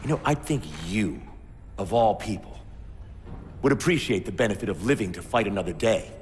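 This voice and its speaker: A man's voice: lowish voice, lively intonation, normal speed, a little hiss or hum, close to an everyday voice. An actor playing an arrogant male starship captain who gives orders in a curt, commanding, dismissive way. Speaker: ship captain. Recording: reverberant cutscene with score.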